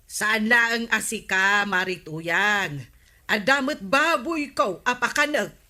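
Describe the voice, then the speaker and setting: A woman's voice: medium pitch, animated, takes it slowly, bright, forceful tone. A woman playing a chatty, complaining villager who exclaims often in a comic Ilocano radio drama.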